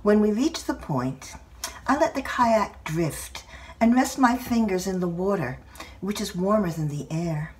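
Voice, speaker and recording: An older woman reads a literary personal essay aloud in a measured, expressive storytelling manner. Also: medium pitch, distant mic. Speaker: writer reading own essay.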